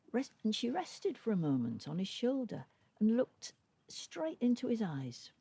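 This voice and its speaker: A woman reading a traditional folk tale aloud as a storyteller, with dramatic, deliberate phrasing. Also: mid-range voice, mellow, husky.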